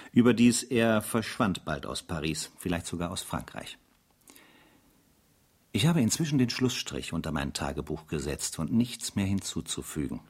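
An actor playing a sober, dutiful French army captain in a radio drama, speaking in a measured, grave and formal manner. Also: male voice, sits low, slightly rough. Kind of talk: radio drama narration in diary form.

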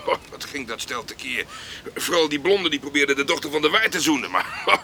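An actor playing a homeless tramp on the road in a radio drama, garrulous and wheedling. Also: male voice, a little high, animated, fairly quick, sharp tone. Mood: gleeful gossip. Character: chatty homeless tramp begging tobacco on the road. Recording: noisy 1980s radio drama with outdoor ambience.

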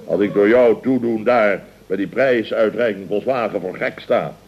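An actor playing a pompous, blustering older boss in an old radio comedy, exclamatory and theatrical. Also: male voice, on the low side, normal speed, vocal fry. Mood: exasperated sarcasm. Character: blustering, self-important older boss of a building firm. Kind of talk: 1960s-70s Dutch radio comedy acting. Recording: muffled old radio recording.

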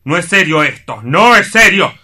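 An actor playing a gloomy, solemn and indignant war-scarred orderly in a radio play, grave, formal and plaintive. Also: male voice, sits high, animated, normal speed, bright, forceful tone, exaggerated voice.

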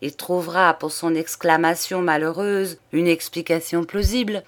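Woman voicing an excitable, vain old man, theatrical. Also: fairly low pitch, normal speed, lightly acted. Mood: confident. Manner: exclamatory, emphatic, talkative. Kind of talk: audiobook character dialogue.

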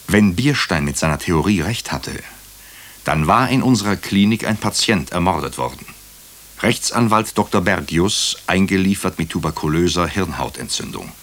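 An actor playing a brooding hospital doctor who narrates a radio crime drama in clipped, deliberate phrases. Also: male voice, sits low, expressive, a bit bright, heavily acted. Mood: grave, measured. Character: brooding male hospital doctor recounting a murder case. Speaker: actor narrating as the lead character.

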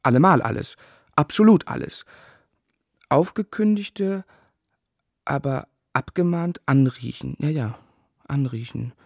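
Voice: mid-range voice, lively intonation, gravelly, dry recording, studio quality. Eine Stimme aus einem absurden Hörspiel spielt einen grüblerischen, pedantischen Sprachspieler, der stockend Wörter wiederholt und hinterfragt. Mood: insistent. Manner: deliberate, halting, repeating and questioning words. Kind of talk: experimental absurdist German radio play, sound-poetry recitation.

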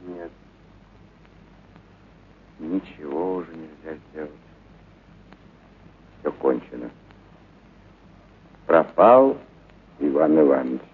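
A man's voice: extremely low pitch, slow, long pauses, husky, heavily acted. An actor playing a kindly, eccentric animal trainer in a radio play, talking theatrically and fondly to his pets. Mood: grieving, distressed. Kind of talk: radio play acting of a short story. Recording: narrow muffled radio sound, noisy background.

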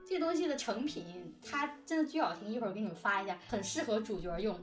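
A woman's voice: medium pitch, reverberant, far from the mic. A woman chatting casually and animatedly, giggly and talkative, as one of an audio drama team. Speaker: audio drama production team member, co-host. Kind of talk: casual behind-the-scenes chat.

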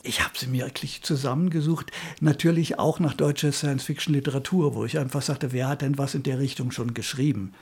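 A man's voice: mid-range voice, fairly even intonation, fairly quick, a little mellow. An older man talking reflectively in an interview about his work as a radio director. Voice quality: husky, creaky. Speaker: retired radio drama director being interviewed.

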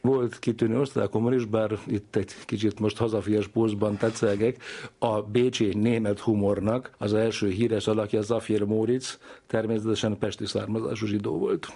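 A man's voice: on the low side, steady tone, creaky, warm tone, breathy edge. A man giving reflective, scholarly explanations in an interview, speaking discursively as an expert.

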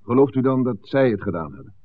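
A man playing a gruff, hot-headed village smuggler in a 1960s radio play. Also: mid-range voice, very animated, fast talker, narrow, tinny audio, a little hiss or hum, lightly acted. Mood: indignant. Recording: thin band-limited 1960s radio recording.

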